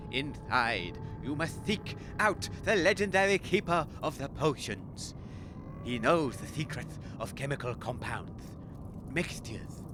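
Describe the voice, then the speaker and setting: Man as an eccentric inventor hero, portentous, theatrical, very varied intonation, noisy ambience behind. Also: medium pitch. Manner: portentous, dramatic, declamatory. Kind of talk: audio comedy drama acting.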